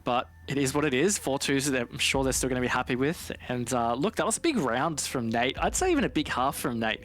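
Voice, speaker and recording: A man's voice: mid-range voice, a bit bright. A man giving conversational analysis as co-commentator on a live esports match. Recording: faint noise and clicks behind.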